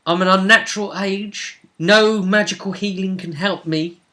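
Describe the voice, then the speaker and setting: A man's voice: high voice, fairly even intonation, normal speed, pushed and bright, voice pushed up. A man voicing a very old, dying female mage who speaks with solemn resignation in a role-playing game.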